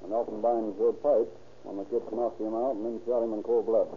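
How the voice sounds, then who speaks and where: An actor playing a businesslike, authoritative chief of detectives in a 1930s radio drama. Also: male voice, fairly low pitch, level tone, fairly quick, natural voice. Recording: noisy, tinny old-time radio recording.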